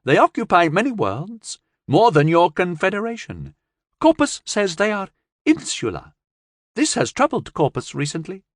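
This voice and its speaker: A man voicing a young female alien creature who speaks in slow, broken, formal phrases. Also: high-pitched, huge pitch swings, no room sound, studio quality, pitched above the performer's own voice, heavily acted. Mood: earnest.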